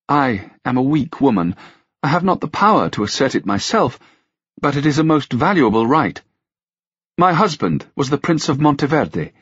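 A man's voice: medium pitch, slightly rough, dry recording, pitched above the performer's own voice. A man doing a sweet-tongued lady courtier. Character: flattering young noblewoman courtier. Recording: dull, dry, lo-fi sound.

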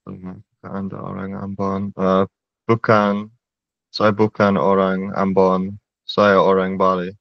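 Young man student on headset, low monotone, slow, muffled dry call audio. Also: gravelly, no echo. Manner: slow, careful learner repeating phrases. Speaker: language student. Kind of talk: online language lesson. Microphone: headset on video call.

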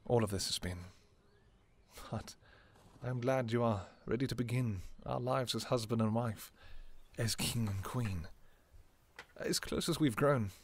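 A man's voice: mid-range voice, a little slow, long pauses, slight background noise, natural voice. A man playing a devoted royal vampire king in a romantic fantasy roleplay, speaking formally and intimately to his lover. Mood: tender, devoted. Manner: formal, archaic, intimate phrasing.

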